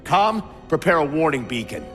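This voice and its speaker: A man's voice: a little high, big pitch swings, moderate pace, a bit bright, faint music behind. A man playing a stern, stubborn warship captain in a video game, with a formal, condescending air of authority. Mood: commanding. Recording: long echoing reverb.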